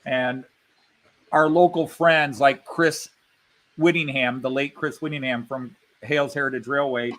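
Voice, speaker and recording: A man's voice: mid-range voice, steady tone, a little slow, a bit bright. A man hosting a casual chat, telling rambling, chatty travel stories.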